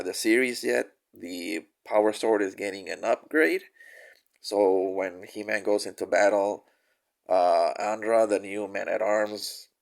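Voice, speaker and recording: A man's voice: fairly low pitch, gravelly. A man with a nasal voice from a cold, casually reviewing a toy figure with many fillers and restarts.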